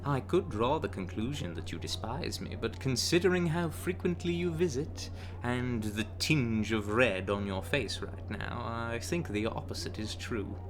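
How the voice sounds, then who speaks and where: Charming young tavern bard male actor, ASMR romance roleplay: unhurried, teasing, smitten delivery over clearly audible background noise. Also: mid-range voice, expressive. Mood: playful teasing. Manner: teasing, coaxing, with soft chuckles and hesitant asides. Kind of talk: ASMR romance roleplay, intimate address to the listener.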